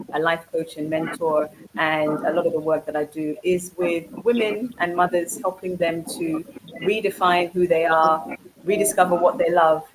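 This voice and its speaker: A woman with a British accent, a guest introducing herself on a talk show in a friendly, fluent way. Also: lowish voice. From United Kingdom. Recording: noisy call recording.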